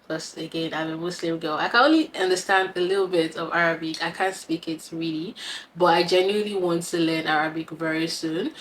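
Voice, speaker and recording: A young Nigerian woman in her twenties, talking to camera in an easy, chatty way and laughing often. Her voice sits a little low for a woman. Faint noise hums under her. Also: a little fast, fairly bright tone. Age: 20s. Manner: chatty and giggly, with frequent laughs. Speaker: vlogger. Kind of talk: casual talk to camera.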